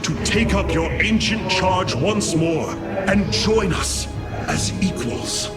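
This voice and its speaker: A man's voice: sits high, expressive, takes it slowly. A man playing a noble, earnest alien Templar leader in a video game, speaking in a formal, stately, oratorical way. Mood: earnest, pleading. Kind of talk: dramatic video game cutscene acting. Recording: loud orchestral music behind.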